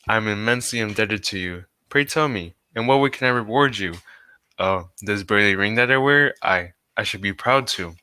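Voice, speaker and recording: A grand king of old radio drama, acted by a man. His lowish voice is smooth and forceful, with emphatic, formal, regal phrasing. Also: moderate pace. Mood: grateful, grand. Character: grand, grateful, impatient King of Bohemia. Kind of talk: adaptation of a 1940s radio drama.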